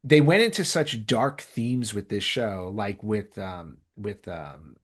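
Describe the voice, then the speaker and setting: A man's voice: on the low side, expressive, slow pace. A man talking casually as a podcast host, enthusiastic and emphatic, often repeating phrases to stress his point.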